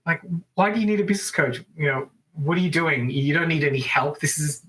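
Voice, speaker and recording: Bright-voiced Australian man telling stories. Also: on the high side, low-quality recording. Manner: chatty and upbeat, quick asides. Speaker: guest, business coach. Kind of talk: casual interview storytelling. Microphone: remote call.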